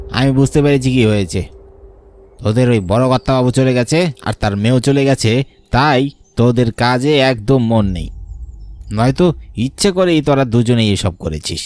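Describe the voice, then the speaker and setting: A man's voice: medium pitch, animated, normal speed, a little mellow, dry recording, lightly acted. An actor playing a suspicious, bullying landowner in a horror cartoon, scolding and accusing his workers. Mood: angry accusing. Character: suspicious, bullying male landowner and farm master. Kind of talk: dramatised horror cartoon dialogue. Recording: music bed under the voice.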